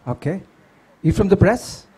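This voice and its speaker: A man hosting a book launch event, introducing speakers and taking questions in a brisk, upbeat way. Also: sits high, expressive, mellow, husky, poor audio. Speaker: event host.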